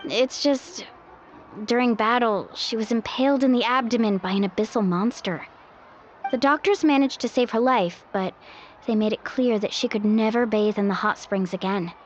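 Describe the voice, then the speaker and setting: Woman as a high, breezy young game guide. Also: moderate pace, slight background noise, close to an everyday voice. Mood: earnest. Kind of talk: anime video game voice acting. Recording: muffled game audio under music.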